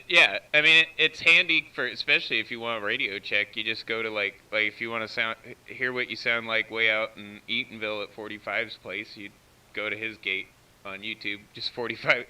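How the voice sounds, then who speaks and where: A man's voice: lowish voice, fairly even intonation, brisk, bright, forceful tone, clean tone. An American man chatting casually over CB radio, explaining technical things in an informal, step-by-step way. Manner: explanatory, informal, walks through tech details. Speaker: CB radio operator running a live stream. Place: CB radio station, heard through live feed. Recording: distant-sounding CB radio feed.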